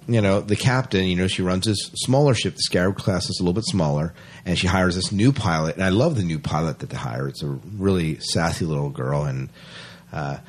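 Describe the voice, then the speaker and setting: A man hosting a podcast, chatting casually and emphatically as he gives a review. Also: low-pitched. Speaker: podcast host reviewing a fan film.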